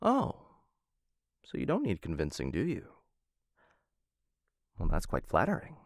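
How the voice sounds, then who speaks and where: A low-voiced, smitten assassin played by a man in a boyfriend ASMR roleplay. His delivery swings widely in pitch, with a gravelly fry. He speaks in polished, courtly phrases and drifts into hesitant, softer asides. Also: wildly swooping pitch, a little slow, no echo, studio quality. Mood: suave, courting. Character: smitten male assassin of noble house, suave, courtly, playful. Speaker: voice actor in a boyfriend roleplay audio. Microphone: close studio-style mic.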